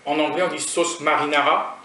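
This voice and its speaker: A man's voice: on the high side, steady tone, brisk, bright, forceful tone, slight background noise. A man from France with a French accent, chatting casually to his viewers while tasting food on his vlog. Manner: chatty and rambling, talking straight to viewers. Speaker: food vlogger. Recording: roomy sound from a distant mic.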